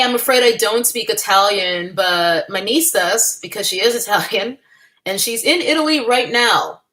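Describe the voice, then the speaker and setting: A woman's voice: mid-range voice, sharp tone. A young adult woman hosting a casual livestream from home, chatting candidly with her viewers and laughing often. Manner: chatty and candid, with frequent laughs and playful asides. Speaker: livestream host. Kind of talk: casual livestream chat, reading the chat.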